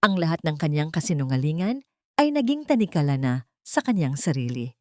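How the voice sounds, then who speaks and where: Woman acting a hushed, remorseful rich man. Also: deep voice, big pitch swings, brisk, no echo, studio quality. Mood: subdued, remorseful. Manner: hushed, sorrowful.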